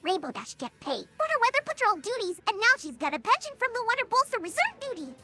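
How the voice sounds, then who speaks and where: A woman voicing an excitable, frantic young female cartoon pony in a dramatic, insistent way for a fan audio drama. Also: very high-pitched, fast talker, exaggerated voice. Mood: rambling, earnest.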